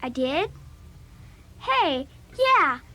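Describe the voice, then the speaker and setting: A young female actor playing an earnest, excitable preteen schoolgirl, speaking emphatically in a children's audio drama. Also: high-pitched, drawn-out words, heavy background noise, heavily acted. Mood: proud, excited.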